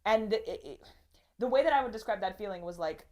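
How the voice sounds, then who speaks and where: A woman's voice: mid-range voice, expressive, a little fast, a little mellow. A young woman from Russia, a comedian guest on a casual podcast, rambling with lots of "like" and acting out quotes.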